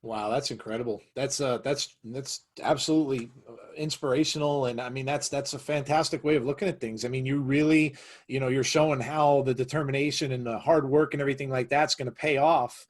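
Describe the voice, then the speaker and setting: A man's voice: medium pitch, steady tone, a little fast. A man hosting a wrestling podcast, reacting with eager praise and many fillers. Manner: enthusiastic, heavy on praise and fillers. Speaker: podcast host. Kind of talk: casual interview chat.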